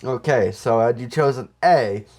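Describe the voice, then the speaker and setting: A man's voice: mid-range voice, unhurried, a little mellow. A man co-hosting a podcast in casual, comedic chat, explaining things in a hesitant, conversational way. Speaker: podcast co-host.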